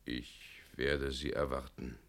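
Very deep, creaky, troubled man. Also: flat delivery, a bit bright, heavily acted. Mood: resigned. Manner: grave, halting, solemn delivery. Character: troubled, reluctant man pressed to kill. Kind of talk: 1960 German radio play acting.

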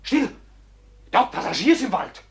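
High, husky, agitated robber. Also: male voice, big pitch swings, unhurried, full character voice. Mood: alert. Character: rough, agitated male robber. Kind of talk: classic theatrical radio drama.

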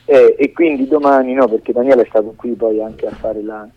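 A middle-aged man talks casually in a dull, band-limited recording. His delivery is wry and rambling, at an ordinary pitch, like a guest on a call. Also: fairly even intonation, brisk. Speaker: guest filmmaker interviewed by phone. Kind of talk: casual chat.

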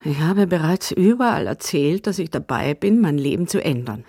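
An actress playing a determined, wronged lover in an absurdist radio drama, insistent and literal-minded. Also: female voice, low voice, animated, moderate pace, dark tone, husky, no echo, clean studio sound, exaggerated voice. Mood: resolute. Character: determined, wronged lover demanding her new life.

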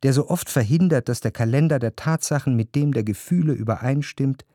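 An actor playing a reflective, grieving narrator in a literary radio drama, with measured, introspective and deliberate phrasing. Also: male voice, mid-range voice, mellow, natural voice. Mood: sorrowful, reflective. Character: reflective, grieving male narrator remembering his grandmother.

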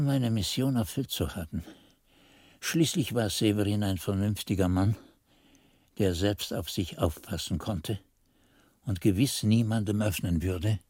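Husky, earnest monk narrator. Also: male voice, on the low side, darkish tone, dry recording, clean studio sound, heavily acted. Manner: measured literary storytelling, rueful. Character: earnest novice monk recounting his memories, reflective, anxious. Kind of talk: scripted radio drama narration.

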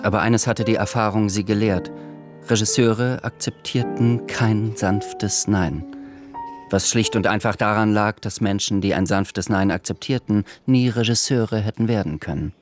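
A man giving scripted literary narration for a radio drama in a measured storytelling manner. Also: on the low side, faint music behind.

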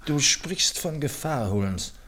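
An actor playing a loyal, sober, slightly puzzled gentleman sidekick in a radio play, measured, polite and earnest. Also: mid-range voice, lively intonation, slight background noise.

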